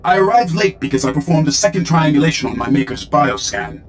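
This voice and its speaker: A loyal research droid, played by a man, high in pitch, stiff and mechanical as its circuits fail. A faint hum sits behind it. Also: lively intonation, fairly quick, close to an everyday voice. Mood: urgent.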